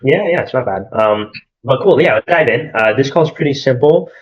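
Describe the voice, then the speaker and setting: A man's voice: mid-range voice, lively intonation, brisk, lo-fi sound. A young man from Arizona running a sales call, casual and filled with "like" and "at the end of the day". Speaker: marketing agency salesman.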